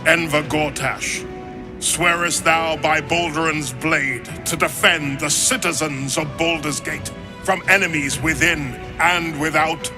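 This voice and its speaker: A man's voice: on the high side, fairly even intonation, a little slow, natural voice. An actor playing a solemn male official in a fantasy game, proclaiming formally in archaic, stately language. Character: solemn male official administering a ceremonial oath. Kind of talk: archaic ceremonial game dialogue. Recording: music behind the voice.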